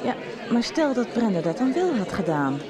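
An actress playing a playful, curious therapist out with a friend in a radio soap, teasing and inquisitive. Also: female voice, on the high side, sing-song, normal speed. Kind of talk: Dutch radio soap acting. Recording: music and crowd behind the voice.

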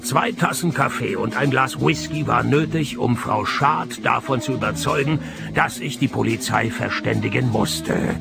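An actor playing a sardonic, world-weary private detective in a radio play, laconic and deadpan as he speaks and narrates. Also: mid-range voice, a little slow, vocal fry, over loud music. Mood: dry, sardonic. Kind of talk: radio play acting with first-person narration.

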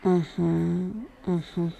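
An actress playing a brisk, businesslike tax office assessor in a radio drama. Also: female voice, on the low side, steady tone, vocal fry, mellow, exaggerated voice. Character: brisk female tax assessor, middle-aged. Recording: noisy background.

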